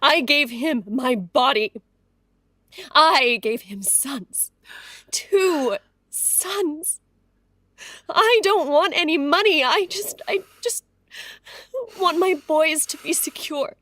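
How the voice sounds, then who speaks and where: A high-voiced, pleading, distraught mother. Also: lively intonation, fairly bright tone, no echo, heavily acted. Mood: grieving, earnest. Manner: halting, emotional, earnest. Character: distraught, pleading mistress, devoted mother of two sons. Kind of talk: period audio drama acting.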